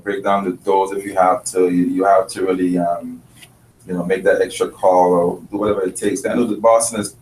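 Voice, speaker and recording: Deep, flat-voiced man from the Caribbean. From Grenada and Trinidad. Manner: earnest, thoughtful, many restarts. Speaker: chef and restaurant owner. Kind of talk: casual interview talk. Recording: roomy, distant mic, faint noise.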